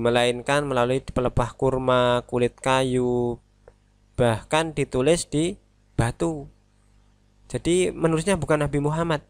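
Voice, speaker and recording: A man's voice: medium pitch, dry recording. A male teacher talks through an online lesson in a mid-range voice. He explains patiently and often corrects himself along the way. The sound is dry and close to the mic. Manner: explanatory, self-correcting, with frequent "nah" and "eh". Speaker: religious studies teacher.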